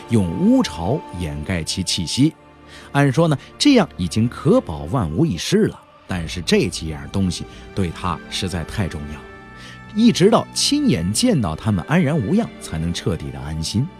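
Guy telling a story audiobook style, lowish husky voice, really swings up and down, chatty but dramatic. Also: huge pitch swings, normal speed, darkish tone, faint music behind. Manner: conversational storyteller with rhetorical questions and emphatic particles. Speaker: audiobook narrator.

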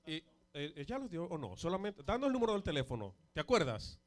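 A man's voice: on the high side, big pitch swings, quick pace. A man in his thirties hosting a live TV interview into a handheld mic, courteous, often repeating words as he searches for the next one. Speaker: TV host interviewer. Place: TV studio. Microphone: handheld microphone.

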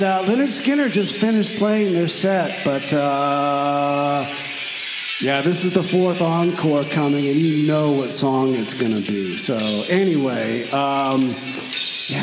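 Guy doing chill rambling rock dude for radio comedy, big hall echo, loud guitar behind. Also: medium pitch, lightly acted. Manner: hesitant, rambling. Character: laid-back time-travelling rock fan dude reporting in.

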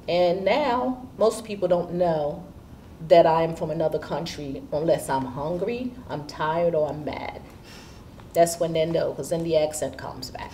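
A woman in her fifties from Haiti speaks in an interview as a school administrator. Her voice sits on the low side. She is picked up from a little way off, with some noise in the room. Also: measured pace, dark tone. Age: 50s. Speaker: school assistant principal. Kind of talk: seated interview answers. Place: indoor school studio with curtain backdrop. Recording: distant mic with background room noise.

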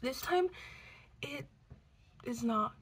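A sick young woman in her twenties, hoarse and congested, chatting casually to a close camera at home, cursing and coughing now and then. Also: on the high side, lively intonation, takes it slowly, a bit bright. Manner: chatty, swearing, coughs between lines. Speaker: vlogger. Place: living room at home. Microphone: camera close to face.

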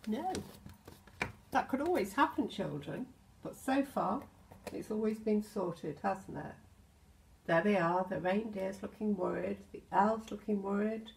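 A British woman in her sixties reads a children's picture book aloud, expressively, with gentle asides addressed to the children. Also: mid-range voice, lively intonation, unhurried, vocal fry, low-quality recording. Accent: British English. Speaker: storyteller, infant school teacher. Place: indoors in a home hallway.

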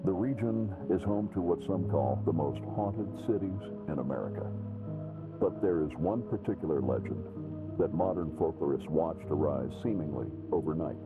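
Deep, ominous male documentary narrator played by an actor. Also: close to an everyday voice. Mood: grave, ominous. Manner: measured, ominous, dramatic. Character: grave male narrator of a spooky legends documentary. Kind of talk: scripted paranormal TV documentary narration. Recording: dull lo-fi TV audio, loud music.

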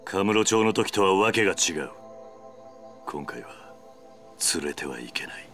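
Veteran gangster hero: low, forceful voice, grave and terse, commanding yet protective, game cutscene. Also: male voice, lively intonation, moderate pace, soft background music. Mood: stern. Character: stoic, commanding veteran yakuza man, fiercely protective. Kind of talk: dramatic video game voice acting.

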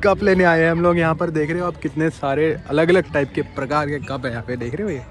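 Male vlogger, highish voice over music. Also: fast. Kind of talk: casual vlog chat. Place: outdoor fair bazaar.

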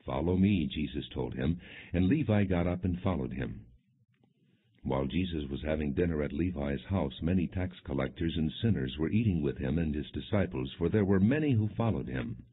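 A man reading scripture as an audiobook narrator, in a solemn, measured storytelling delivery with expressive quoted speech. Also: very deep voice, slightly rough. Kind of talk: solemn scripted Bible reading, non-dramatized. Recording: muffled, phone-like narrow band.

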